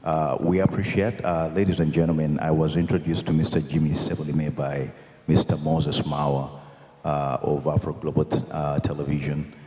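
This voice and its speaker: A man hosting a live event, introducing a guest in a halting way with many fillers. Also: a bass voice, little pitch movement, mellow. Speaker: event host. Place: convention hall. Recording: echoey hall reverb.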